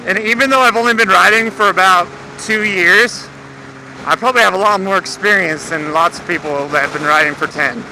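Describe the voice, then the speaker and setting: Young male American motovlogger, higher-pitched voice, casual and slangy with some swearing, recorded outdoors amid clear engine and road noise. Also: fairly quick, sharp tone, rough recording. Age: young adult. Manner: laid-back, slangy, swearing. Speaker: motorcycle vlogger. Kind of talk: casual rambling vlog chat. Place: outdoors, riding a motorcycle. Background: engine and vehicle noise.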